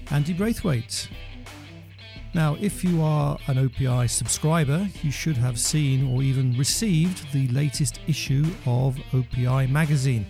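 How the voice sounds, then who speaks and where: A man's voice: fairly high pitch, dark tone. A man hosting a business podcast, reading introductions and putting questions to a guest. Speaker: podcast host and magazine news editor.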